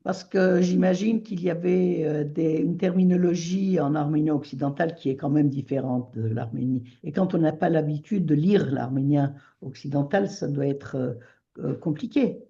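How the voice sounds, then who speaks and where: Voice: medium pitch, mellow, husky, highs cut off. A radio host asking guests questions, hesitating often. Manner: hesitant, many pauses and fillers. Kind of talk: radio interview.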